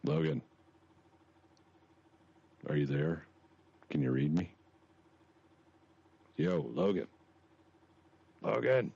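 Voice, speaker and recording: A man's voice: a bass voice, lively intonation, extremely slow, creaky, long pauses, dark tone, slightly rough. A man co-hosting a podcast in casual chat, with crude, profane joking, on a slightly muffled recording. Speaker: podcast co-host.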